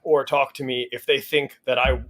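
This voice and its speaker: A man acts out a towering, broad warrior in a tabletop roleplay. He is sure of himself and slightly pompous, speaking matter-of-factly in a medium-pitched voice. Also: little pitch movement, moderate pace, a bit bright, natural voice. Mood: smug, matter-of-fact. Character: very tall, broad, self-assured menacing male fighter. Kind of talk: improvised tabletop roleplay dialogue.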